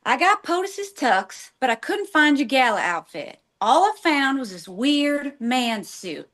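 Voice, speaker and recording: Eager, ditzy young woman played by an actress, high and bouncy, internet-savvy assistant, stage comedy. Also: big pitch swings, measured pace, a bit bright, heavily acted. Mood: flustered. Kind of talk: comedy script table read.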